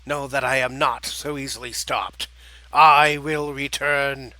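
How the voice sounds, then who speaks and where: A man's voice: medium pitch, fairly even intonation, slow pace, bright, forceful tone, dry recording, low-quality recording. A man voicing an insidious, commanding villain in a story, making theatrical proclamations. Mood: gloating menace. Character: insidious villain, commanding and menacing, with many incarnations.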